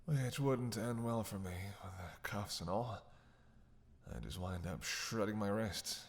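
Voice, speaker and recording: A man playing a starving captive vampire in a roleplay audio drama, sardonic and menacing, speaking haltingly with teasing bite. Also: on the low side, animated. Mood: strained, hungry. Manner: sardonic, halting, breathy sighs between phrases.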